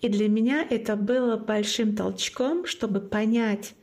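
A woman's voice: medium pitch, unhurried, darkish tone. A woman from Northern Russia whose first language is Komi, a guest in an interview telling her personal story in an earnest way and repeating key phrases for emphasis. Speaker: guest, club founder.

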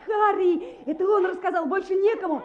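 A bossy schoolchild who leads the gang in a radio play. The voice is high and the vintage recording is dull. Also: female voice, a little fast, roomy sound. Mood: commanding. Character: bossy schoolchild ringleader giving orders. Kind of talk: Soviet radio play acting.

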